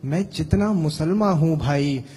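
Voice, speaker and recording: A male poet recites verse in a rhythmic, measured cadence. His voice is slightly raised and husky. It trails off in the long reverb of a hall. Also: a little high, dark tone. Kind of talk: poetry recitation.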